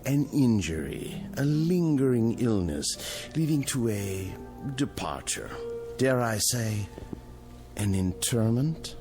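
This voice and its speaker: An actor playing a gloomy, formal florist, solemn and overly courteous, in a vintage television drama. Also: male voice, medium pitch, lively intonation, slow, over music. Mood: solemn, inquiring.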